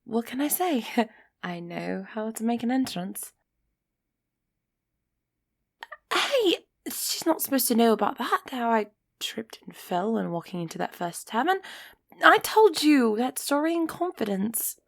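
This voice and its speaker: A woman's voice: on the high side, big pitch swings, measured pace, gravelly, no room sound, full character voice. Girl voicing a young elf heiress, whispery n sighing, takes her time, anxious but stubborn, super dry close mic. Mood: playful, flustered. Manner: soft, sighing, often dropping into whispers. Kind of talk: roleplay ASMR audio drama, close and breathy.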